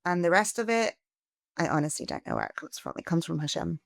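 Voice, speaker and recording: A middle-aged woman from England, a charity founder giving a podcast interview, earnest and growing animated as she asks for help. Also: on the low side, fast talker, vocal fry, no room sound, studio quality. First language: English.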